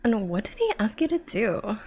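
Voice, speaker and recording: A woman's voice: on the high side, sing-song, normal speed, a little mellow, a little hiss or hum. A woman voicing a curious, incredulous male traveller in a storybook reading, with a questioning, filler-heavy Valley Girl accent.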